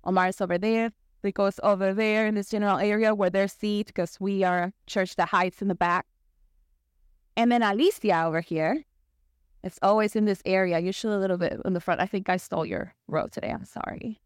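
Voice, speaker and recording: A young Salvadoran woman, a native Spanish speaker, talks to a congregation in a chatty way, dropping in "like" and "right?" as she goes. Her voice sits at a middle pitch. It sounds close and dry, with no room echo. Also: steady tone, brisk, clean tone. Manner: conversational, with frequent "like" and "right?". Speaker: church speaker. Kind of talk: personal talk to a congregation. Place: church sanctuary.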